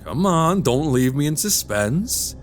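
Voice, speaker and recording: A man's voice: fairly high pitch, sing-song, slow pace, soft background music. A man playing an affable, self-deprecating bachelor writer in a radio drama, with a wry, theatrical way of talking. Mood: eager, intrigued.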